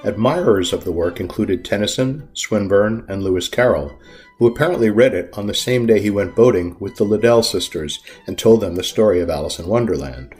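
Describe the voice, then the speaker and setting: A man hosts with a scripted, introductory narration. His voice is fairly low, and faint violin music plays behind him. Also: fairly quick, a little mellow. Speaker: podcast host.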